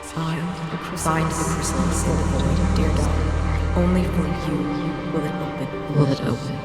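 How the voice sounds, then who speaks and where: A woman's voice: sits low, steady tone, unhurried. A woman playing the solemn, beckoning ghost of a dead mother in an audio drama, with layered, echoing voices. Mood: solemn, haunting. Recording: heavy echo under loud music.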